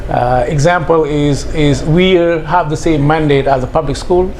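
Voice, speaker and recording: A middle-aged man from Senegal, a school head, answering interview questions in a measured, hesitant way. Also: on the high side, darkish tone, slight background noise. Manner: measured, thoughtful, with frequent hesitations.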